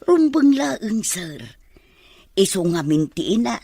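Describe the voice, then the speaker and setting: A woman's voice: medium pitch, sing-song, takes it slowly, vocal fry, dark tone, dry recording, clean studio sound, heavily acted. A woman acting a deferential, earnest older woman who confides in her boss, in an Ilocano radio drama. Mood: earnest, respectful. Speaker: voice actor in an audio drama.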